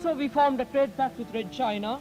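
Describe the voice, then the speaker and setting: A man's voice: very high-pitched, brisk, a little mellow, soft background music. A teenage male youth delegate, giving an earnest, declamatory formal speech in a 1950s archival recording. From Sri Lanka. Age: teens. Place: public speaking event.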